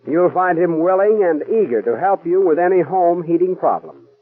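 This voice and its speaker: A man with a somewhat high voice reads a scripted commercial in a smooth, persuasive announcer's manner. The sound is tinny and narrow, like an old radio broadcast. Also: lively intonation, normal speed.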